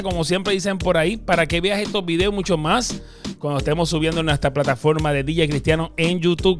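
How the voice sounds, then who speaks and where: A man hosting a show with lively emphasis, his voice pitched a little high. His greetings and plugs come out with bright energy. Soft music sits faintly under him. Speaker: host. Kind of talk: enthusiastic show host, then relaxed interview.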